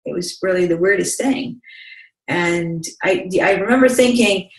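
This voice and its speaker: A woman speaks in a measured, unhurried way and often restarts her sentences. Her voice sits on the low side. The sound is roomy and set back from the microphone. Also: recorded from a distance. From Bosnia. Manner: calm, measured storytelling with frequent restarts. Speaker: guest, motivational speaker. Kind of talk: personal storytelling in an interview.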